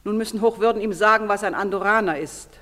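A woman's voice: mid-range voice, quick pace, pushed and bright, lightly acted. An actress playing a proud, betrayed wife and mother, stern and accusing in declamatory stage style. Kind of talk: theatrical stage-play acting. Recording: long reverberant hall sound.

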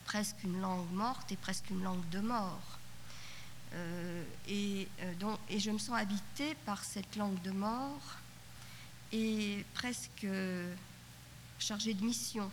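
A middle-aged woman whose first language is Yiddish, reflecting thoughtfully at a conference roundtable, halting often and restarting her sentences. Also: medium pitch, steady tone. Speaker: panelist at a literature conference roundtable. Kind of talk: spoken reflection at a conference panel. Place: conference hall. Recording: 1990s cassette tape in a hall, faint hiss.

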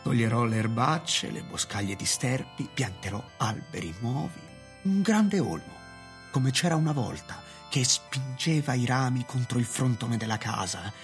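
An actor playing a resolute, nostalgic town official in a radio drama, speaking with solemn deliberation. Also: male voice, mid-range voice, lively intonation, measured pace. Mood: wistful, haunted. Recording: radio play with music behind. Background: music.

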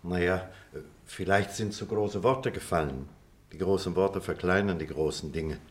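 Actor as reflective deep-voiced middle-aged man. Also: moderate pace, lightly acted. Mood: earnest. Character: reflective middle-aged former pupil telling a childhood memory. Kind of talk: theatrical radio play dialogue and storytelling.

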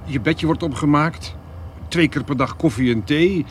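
An actor with a Netherlands Dutch accent playing a caring but strained adult son, coaxing in a radio play. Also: male voice, fairly high pitch, moderate pace, slight background noise, lightly acted. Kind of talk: naturalistic radio drama dialogue.

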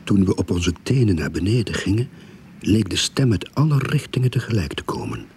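An actor playing the first-person narrator of a suspense radio drama, telling it in a hushed, tense way. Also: male voice, sits low, very animated, fairly quick, a crowd in the background. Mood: suspenseful. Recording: faint background noise.

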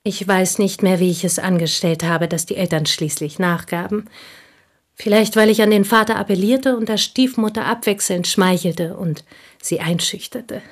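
An actress playing a bitter, proud, unmarried village woman in a radio play, speaking deliberately and with scornful intensity in a husky voice. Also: medium pitch, lightly acted. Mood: proud, insistent. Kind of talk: German radio play acting.

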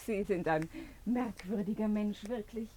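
A woman's voice: medium pitch, slow pace, dark tone, a little hiss or hum, lightly acted. A woman acting in a German radio drama as a spirited young woman, frank, teasing and conversational in her reproaches. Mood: teasing, reproachful.

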